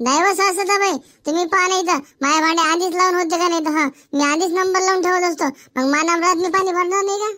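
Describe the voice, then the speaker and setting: A woman's voice: very high voice, steady tone, fast talker, bright, forceful tone. A woman playing a defensive, argumentative village farm woman in a comic cartoon, with a rural Varhadi Marathi accent. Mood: defensive bickering.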